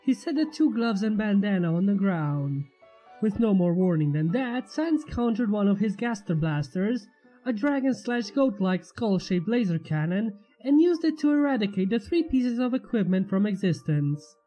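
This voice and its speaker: Mellow, lively woman narrating a story. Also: medium pitch, normal speed, soft background music, low-quality recording. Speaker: fan fiction narrator and channel host. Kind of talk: expressive scripted story narration.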